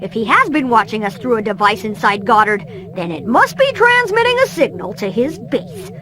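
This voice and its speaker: A woman's voice: fairly high pitch, sing-song, normal speed, crowd noise. A woman voicing a brainy, earnest boy inventor in a cartoon video game, eagerly explaining technical plans. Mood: determined.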